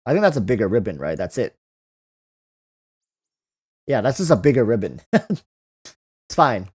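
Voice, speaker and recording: A man's voice: mid-range voice, big pitch swings, measured pace, darkish tone, breathy edge. A man hosting a casual livestream, reading out and answering viewer comments, often repeating phrases as he thinks aloud. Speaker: livestream host.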